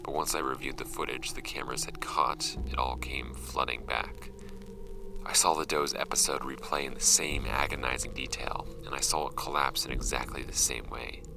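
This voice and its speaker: An actor playing a shaken paranormal investigator recounting a grim field log in a horror audio drama, grave and deliberate, his gravelly voice often fading to breath. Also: level tone, background noise. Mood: weary, uneasy. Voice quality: very low, gravelly, often breathy at phrase ends. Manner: slow-burning, grave, deliberate.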